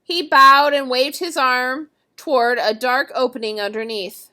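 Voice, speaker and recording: A woman reading a children's story aloud as an expressive storyteller. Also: high-pitched, measured pace.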